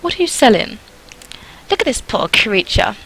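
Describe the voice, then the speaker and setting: A woman's voice: a little high, sing-song, moderate pace, a bit bright, slight background noise, low-quality recording. A woman voicing a haughty, mocking young noblewoman in a visual novel, theatrical and condescending. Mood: mocking disgust. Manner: theatrical, condescending, gushing cruelty.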